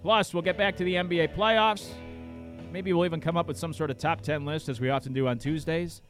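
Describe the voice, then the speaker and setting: A man's voice: fairly high pitch, steady tone, brisk, soft background music. A man hosting a sports talk radio show in a studio, talking casually with lots of fillers while he is losing his voice. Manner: casual, rambling, many fillers. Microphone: studio microphone.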